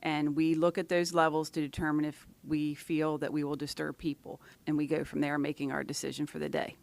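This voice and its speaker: A woman's voice: sits low, level tone, brisk, gravelly, darkish tone. A woman answering interview questions as an environmental scientist, in a matter-of-fact way with short, clipped phrases.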